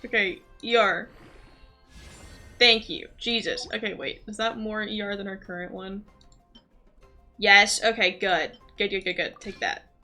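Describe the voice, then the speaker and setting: A woman giving casual, rambling commentary on a live gaming stream, thinking aloud in an excitable, giggly way. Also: mid-range voice, a little slow, long pauses, soft background music. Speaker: livestreamer playing a role-playing game.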